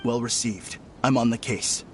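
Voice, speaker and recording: A man's voice: medium pitch, fairly even intonation, moderate pace, soft background music, close to an everyday voice. A man playing a loyal, deferential secret agent in a video game, with clipped, obedient delivery. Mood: dutiful. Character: loyal, deferential covert retainer, terse and dutiful. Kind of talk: video game character acting.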